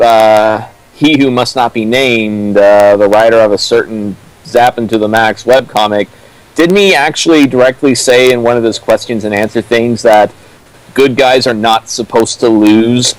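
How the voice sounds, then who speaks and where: A man co-commentating in casual, opinionated discussion, talking haltingly as he explains through examples. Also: medium pitch, steady tone, dry recording, low-quality recording.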